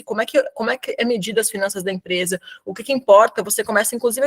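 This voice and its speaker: A woman's voice: fairly high pitch, fairly bright tone. A woman in her thirties with a São Paulo accent, giving a casual, slangy talk with lots of fillers as a guest at an online community session. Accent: São Paulo Portuguese. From São Paulo, Brazil. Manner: chatty, informal slang, frequent fillers. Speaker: guest speaker presenting to a professional community. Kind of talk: casual live webinar talk.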